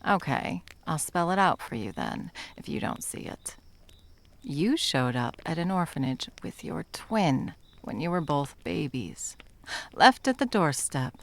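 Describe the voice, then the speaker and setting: A woman's voice: sits low, big pitch swings, a little slow, full character voice. A woman playing a wry, menacing monster hunter who is sadly in love, in intimate ASMR roleplay with a theatrical, sardonic delivery that dips into whispers. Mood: sardonic, explaining.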